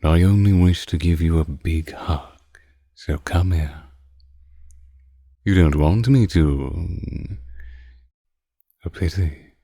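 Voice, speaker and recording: Man voicing a very deep, slow vampire lord. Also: gravelly, dark tone, no room sound, clean studio sound, heavily acted. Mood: coaxing, menacing. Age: older. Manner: slow, deliberate, archaic phrasing, teasing condescension. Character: ancient predatory male vampire lord, suave, menacing, condescending. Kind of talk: scripted roleplay audio drama, intimate close-mic monologue.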